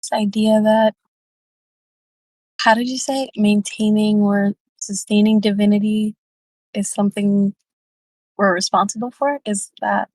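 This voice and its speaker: A woman's voice: medium pitch, steady tone, a little slow, long pauses, a little mellow, no room sound, rough recording. A woman in a casual, reflective group discussion, speaking tentatively as she thinks aloud. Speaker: book club discussion participant and facilitator.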